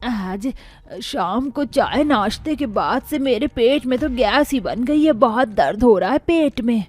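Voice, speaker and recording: A woman's voice: on the high side, lively intonation, a little slow. A woman voicing a fretful female relative in a cartoon family drama. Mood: pained.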